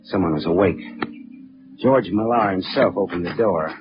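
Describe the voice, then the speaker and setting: Actor as low-voiced hardboiled radio detective. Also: male voice, moderate pace, soft background music, natural voice. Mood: terse narration. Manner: clipped, slangy, wisecracking. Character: hardboiled male private detective, sardonic and pushy. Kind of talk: 1940s radio detective acting with first-person narration. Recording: muffled, noisy late-1940s radio recording.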